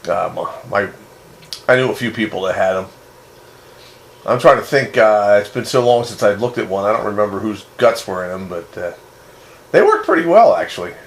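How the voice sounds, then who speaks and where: Clear noise surrounds a man in a casual radio hobby chat. His voice is fairly low but moves around a lot in pitch. He talks informally, as one enthusiast to another. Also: animated, moderate pace, poor audio.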